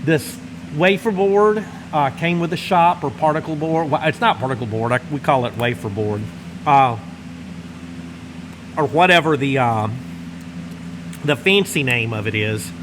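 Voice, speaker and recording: Casting: middle-aged Louisiana drawl, folksy, rambling host, a touch high for a man, heater humming behind. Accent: Northwest Louisiana Southern drawl. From Northwest Louisiana. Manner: easygoing, meandering, folksy drawl, pauses while smoking a cigar. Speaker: channel host. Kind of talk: casual rambling chat to camera. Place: large insulated home garage workshop, indoors. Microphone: camera close in front, seated. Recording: steady heater hum behind voice. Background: heater running.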